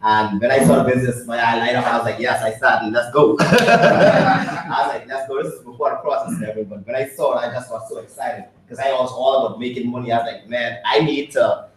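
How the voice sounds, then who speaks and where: A young man from the Bahamas with a Bahamian accent, telling an excited, laughing story at a business meeting. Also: medium pitch, brisk, a little hiss or hum. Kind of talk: testimonial talk at a business meeting. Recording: echoey hall from far off.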